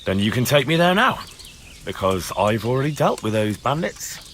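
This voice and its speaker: A man's voice: mid-range voice, very animated, moderate pace, a bit bright, slight background noise. An actor playing a determined young man in a medieval video game, questioning people in an insistent, direct way. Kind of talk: video game cutscene dialogue.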